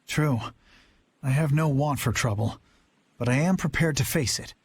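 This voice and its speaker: A man's voice: medium pitch, moderate pace, slightly rough, lightly acted. A man voicing a principled, earnest young knight in an anime game dub, formal and resolute. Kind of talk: English-dubbed anime game voice acting. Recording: music under the voice. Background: music.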